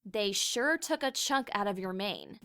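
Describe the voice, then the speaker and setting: A woman's voice: mid-range voice, expressive, measured pace, a bit bright. A woman voicing a matter-of-fact unicorn medic pony in a fan fiction reading, with a clinical manner.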